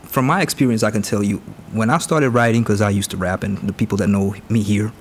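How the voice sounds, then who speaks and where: A man's voice: fairly low pitch, fairly even intonation, quick pace, slight background noise. A man from Aruba hosting a podcast, talking in a laid-back, slangy way and often repeating words as he starts sentences. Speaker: podcast host. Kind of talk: casual interview chat.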